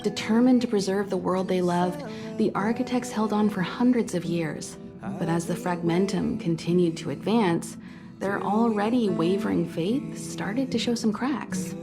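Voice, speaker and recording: An actress playing a composed, kindly mentor of space travellers in a video game, explaining things in a poised, reassuring way. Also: female voice, mid-range voice, moderate pace, lightly acted. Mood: composed, earnest. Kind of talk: video game voice acting, measured dialogue. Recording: background music under studio voice.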